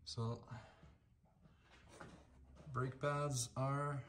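A man's voice: medium pitch, flat delivery, drawn-out words, fairly bright tone, slight background noise. A man in his fifties hosting a casual how-to shop vlog, talking in a rambling way with many restarts and fillers, filmed on a handheld phone camera in a garage workshop. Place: indoor garage workshop with ATVs.

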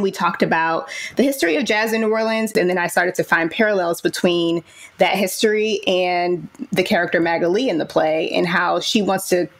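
A woman speaking conversationally as a theater director guest in a panel discussion. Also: on the low side.

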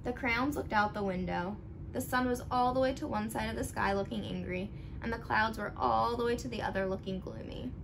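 A young woman reading a picture book aloud expressively, with animated, deliberate emphasis for young listeners. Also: fairly high pitch. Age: young adult. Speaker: storybook reader. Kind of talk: expressive children's read-aloud. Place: living room at home. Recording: clearly noisy home recording.

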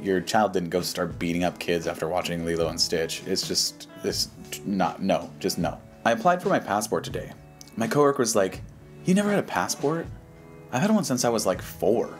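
Male YouTube host, American, low-pitched, casual and dismissive with slang, over background music. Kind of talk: casual reaction commentary, reading posts aloud.

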